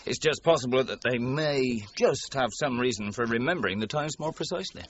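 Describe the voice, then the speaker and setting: An actor with a British accent playing a dry, courteous police detective in a radio crime drama, speaking politely and precisely. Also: male voice, mid-range voice, brisk, dull audio, slight background noise, close to an everyday voice.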